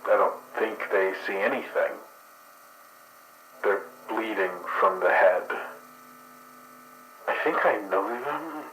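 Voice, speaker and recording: A man's voice: lowish voice, pushed and bright, reverberant, a little hiss or hum, exaggerated voice, poor audio. A man voicing a crude, irritable man with skunk traits in an actual play podcast, talking in a profane, sardonic way.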